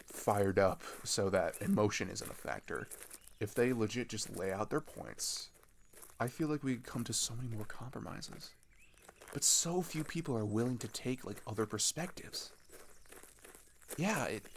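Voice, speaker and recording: A low, gravelly young male voice, a man acting an earnest, frustrated teenage traveller in an intimate audio roleplay. He thinks out loud in casual, halting rambles. There is a little background noise behind him. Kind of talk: ASMR audio roleplay, casual improvised-sounding chat.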